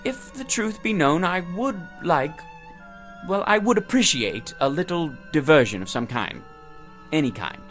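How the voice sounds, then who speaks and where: An actor playing a fussy, lonely, self-satisfied office worker who dislikes people, in theatrical audio drama style. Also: male voice, fairly high pitch, expressive, measured pace, a bit bright, faint music behind. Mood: musing, scheming. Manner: pompous, fussy, muttering to himself.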